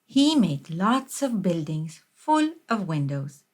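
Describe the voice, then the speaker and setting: Unhurried woman reading a children's storybook. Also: lowish voice, big pitch swings, a little mellow. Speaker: storybook reader. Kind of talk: children's picture book read-aloud, scripted narration.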